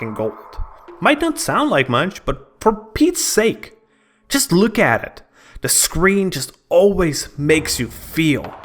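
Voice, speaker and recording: A man reads a scripted game review as if telling a dramatic story. His voice sits a little higher than average for a man and moves with a very animated, varied melody.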